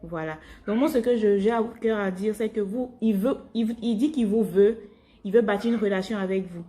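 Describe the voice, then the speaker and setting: A woman's voice: medium pitch, normal speed, warm tone. A woman evangelising on a phone call, explaining her faith informally and rephrasing as she goes. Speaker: evangelist calling strangers by phone.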